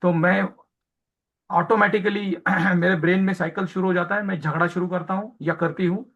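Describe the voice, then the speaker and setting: Man, high-pitched voice, training lecturer, mixes hindi and english, hesitant fillers, everyday examples. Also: steady tone, moderate pace, a bit bright, highs cut off. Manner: explains through everyday home examples. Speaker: hospital accreditation trainer.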